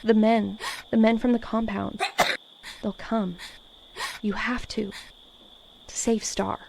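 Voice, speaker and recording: A woman playing a gravely injured mother in an audio drama, weak and pleading, struggling for breath in broken phrases. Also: a little high, little pitch movement, slow pace, a little mellow, a little hiss or hum, poor audio. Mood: desperate pleading. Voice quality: breathy strained faltering speech with wheezing.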